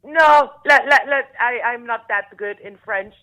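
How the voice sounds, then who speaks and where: A Belgian woman with a Flemish accent, Flemish-speaking by birth, talking as an interview guest. She sounds lively and friendly and often restarts her sentences. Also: on the high side, measured pace. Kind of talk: casual interview on a phone line. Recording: tinny phone line.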